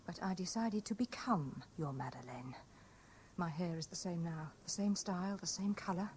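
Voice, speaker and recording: A woman's voice: fairly low pitch, moderate pace, darkish tone, natural voice. An actress in a 1970s radio drama playing a weary, distressed young woman, plaintive and restrained. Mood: sad, resigned. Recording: noisy vintage radio broadcast recording.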